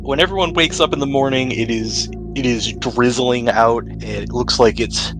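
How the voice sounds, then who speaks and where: A man's voice: mid-range voice, normal speed, poor audio. A man acting as game master, narrating a tabletop roleplaying game in a casual, improvised way. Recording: music playing under the voice. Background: background music.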